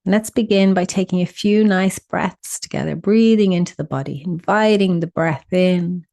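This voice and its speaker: A woman with a soft-edged, mellow voice sitting a little low in pitch guides a meditation. She gives soothing, simple instructions and leaves deliberate pauses between them. The recording is dry and close. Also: dry recording.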